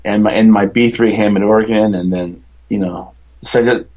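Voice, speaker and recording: Rambling low-voiced baritone man. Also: fairly even intonation, normal speed, darkish tone. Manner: rambling, enthusiastic, many fillers and restarts. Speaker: guest musician and podcaster. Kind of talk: casual interview chat.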